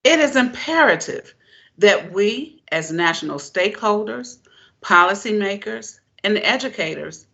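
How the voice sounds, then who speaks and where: A woman giving a formal prepared keynote speech as a presenter, in deliberate, emphatic, measured phrases. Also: mid-range voice, a bit bright, dull audio. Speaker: presenter, education keynote speaker.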